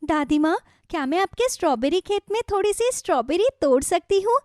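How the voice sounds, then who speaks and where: A woman's voice: very high voice, animated, fairly quick, a little mellow, dry recording, clean studio sound, pitched above the performer's own voice. A woman voicing a kind, eager little girl in a children's story, polite and earnest. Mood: eager, delighted.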